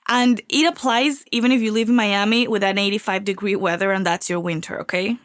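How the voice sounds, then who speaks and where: A woman's voice: mid-range voice, steady tone, brisk, no room sound, studio quality. A woman from Venezuela, hosting a solo podcast in an upbeat, confiding way while getting over a cold. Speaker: podcast host.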